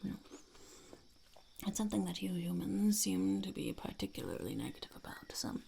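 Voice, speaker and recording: A woman voicing a blunt, smug female goblin entrepreneur in an unhurried, breathy, gravelly half-whisper for an ASMR roleplay. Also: mid-range voice, animated, background noise. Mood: smug, matter-of-fact. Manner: speaks of herself in third person, unhurried, blunt. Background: crinkling wrappers and clicks.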